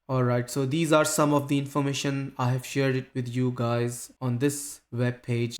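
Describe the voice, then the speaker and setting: Flat-voiced man reading web page aloud. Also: medium pitch. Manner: steady reading voice with casual asides to viewers. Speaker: channel host.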